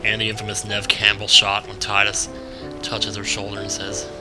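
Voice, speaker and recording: A young American man with a fairly low voice hosts a casual let's play. He rambles along with wry asides. Game music plays clearly behind him. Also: completely flat delivery, normal speed. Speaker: gaming video host. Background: video game music.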